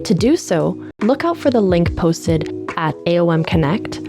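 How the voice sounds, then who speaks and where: A woman hosting a live interview show, reading the intro in a clear, scripted way. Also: lowish voice, big pitch swings, measured pace, over music. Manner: clear, read-aloud delivery. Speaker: host, lecturer.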